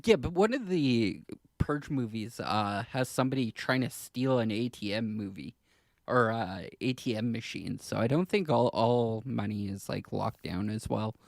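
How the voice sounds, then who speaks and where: Creaky-voiced man, halting podcast talk. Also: mid-range voice, animated, dry recording, clean studio sound. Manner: hesitant, halting delivery with trailing clauses. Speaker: podcast co-host. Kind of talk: casual podcast discussion.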